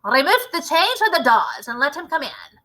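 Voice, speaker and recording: Woman acting an imperious high-pitched witch leader. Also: very animated, pushed and bright, exaggerated voice, rough recording. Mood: commanding. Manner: theatrical, deliberate, commanding. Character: imperious, gloating, spiteful Grand High Witch addressing her followers.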